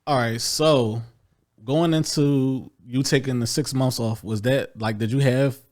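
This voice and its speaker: A man's voice: mid-range voice, steady tone, measured pace, darkish tone. A man hosting a podcast who asks questions in a loose, rambling way, restarting them as he goes. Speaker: podcast host. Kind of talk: casual interview.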